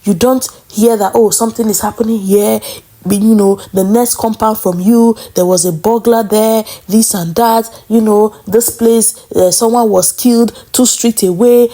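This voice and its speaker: A woman's voice: fairly high pitch, warm tone. A young woman from Nigeria hosting a casual commentary vlog, talking to her viewers in a chatty way. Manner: chatty, addresses viewers as guys. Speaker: vlog host.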